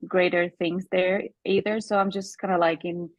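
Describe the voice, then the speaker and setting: A woman from Colombia, introducing herself hesitantly on a video call with many pauses. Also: on the low side, flat delivery, dry recording, highs cut off. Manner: hesitant, many ums and uhs. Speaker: meeting participant.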